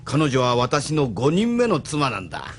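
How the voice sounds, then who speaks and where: A man's voice: mid-range voice, wildly swooping pitch, a little slow, a little hiss or hum. An actor in a Japanese audio drama playing a sardonic, unruffled retired rebel in his seventies, speaking with blunt familiarity. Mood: wry, casual. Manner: familiar, gruffly worldly, sardonic. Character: wry, unruffled 75-year-old retired male rebel activist.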